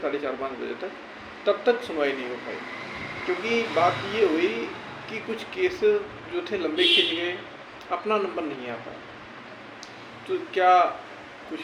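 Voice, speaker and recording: A man giving an informal explanatory update to viewers, reassuring and advising them with plenty of repetition. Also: high voice. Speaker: YouTuber giving recruitment court-case updates. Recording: roomy, dull audio with faint noise.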